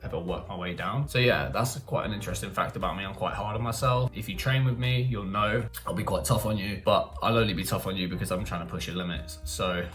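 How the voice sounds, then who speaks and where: A man's voice: low-pitched, fast, few pauses, over music. A young man from Spain with a London-leaning English accent, talking candidly and informally in a rambling, casual Q&A style. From Marbella, Spain. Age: young adult. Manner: informal, candid, rambling with frequent "like" and "you know". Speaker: YouTuber. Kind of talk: casual personal Q&A to camera.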